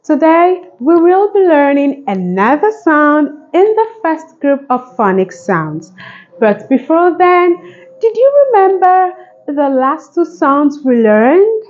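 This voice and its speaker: A woman's voice: high-pitched, big pitch swings, takes it slowly, darkish tone, highs cut off. A woman hosting a phonics lesson for young children, speaking in an encouraging, sing-song manner with simple repeated instructions. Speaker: phonics teacher and channel host.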